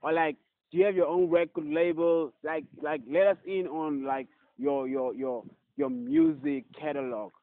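Brief: young male host, slightly high voice, tinny phone-like line. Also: expressive, normal speed, creaky, dry recording. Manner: loose, hyped, repeats "yes, sir" and "my guy". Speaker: podcast host. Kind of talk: casual interview.

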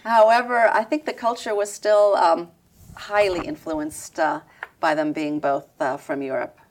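A woman answering interview questions in a measured, reflective way, with soft "um" fillers. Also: fairly low pitch, steady tone, a little hiss or hum. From United States. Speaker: interview guest.

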